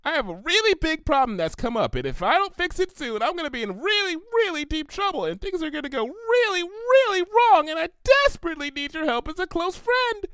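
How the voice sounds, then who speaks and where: A hyperactive young cartoon mare, played by a man, pitched very high and swinging wildly up and down. She gushes and rambles with cheerful, bubbly eagerness. The recording is close and dry. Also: sing-song, brisk, few pauses, fairly bright tone, no echo, heavily acted. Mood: frantic pleading.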